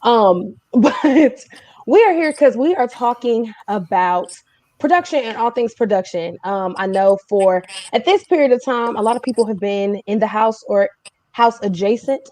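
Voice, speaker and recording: A woman's voice: on the high side, expressive, moderate pace, a little mellow, no echo. Female host for a casual music livestream. Playful, teasing, conversational delivery. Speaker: host of a music speaker series. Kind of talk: casual live-stream chat. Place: live video stream, self-shot on a phone.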